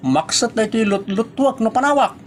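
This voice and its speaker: Man acting a high-voiced bossy Ilocano comedy character. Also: animated, a little fast, slight background noise. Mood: insistent.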